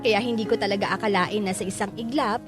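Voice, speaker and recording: A woman's voice: medium pitch, steady tone, quick pace, close to an everyday voice. A woman with a Filipino accent playing a wistful, reflective narrator in a radio drama. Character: wistful young woman recalling her broken family. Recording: prominent music under the voice.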